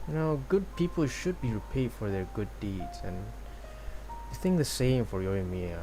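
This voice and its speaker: Lively male game streamer reading aloud. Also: fairly low pitch, normal speed, low-quality recording. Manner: stumbles over lines, dramatized reading. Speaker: game livestreamer. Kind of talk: reading game dialogue aloud and reacting casually. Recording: game music behind the voice.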